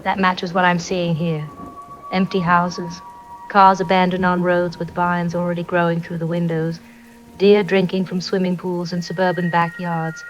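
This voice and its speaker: A woman's voice: fairly low pitch, little pitch movement, moderate pace, soft background music, lightly acted. A woman playing a resigned, solemn radio operator speaking reflectively in an apocalyptic radio drama. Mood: somber, defeated. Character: somber, resigned female radio operator survivor. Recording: dull, noisy radio with rain.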